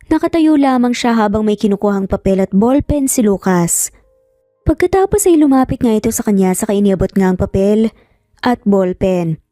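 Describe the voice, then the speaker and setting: A woman's voice: medium pitch, animated, moderate pace, warm tone, dry recording, clean studio sound. A woman narrating a scripted romance story in a dramatic storytelling style. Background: music.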